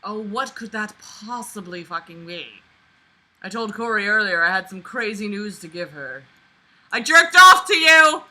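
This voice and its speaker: Sharp, bright-voiced sarcastic young woman. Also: medium pitch, lively intonation, measured pace, rough recording. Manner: sarcastic, exasperated, sweary. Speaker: online book reader and commentator. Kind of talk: casual reading aloud with running mocking commentary.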